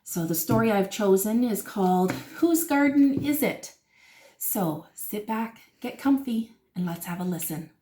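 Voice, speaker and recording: A woman teacher reading a picture book aloud to children in a deliberate, expressive storytelling manner. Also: mid-range voice.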